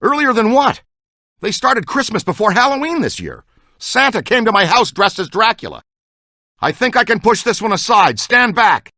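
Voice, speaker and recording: A man voicing a brisk, wry member of a ghost-catching team in a video game. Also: high-pitched, sing-song, pushed and bright, dry recording, clean studio sound, full character voice. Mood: brisk, matter-of-fact. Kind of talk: video game character lines.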